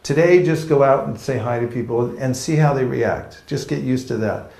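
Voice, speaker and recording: A playful man from California leads a seminar before a live audience, joking along and acting out little street encounters. His voice sits at an ordinary pitch. The echo of the room rings around every phrase. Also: moderate pace, creaky, darkish tone, slight background noise. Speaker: seminar teacher. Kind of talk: lively seminar teaching. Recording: echoey room.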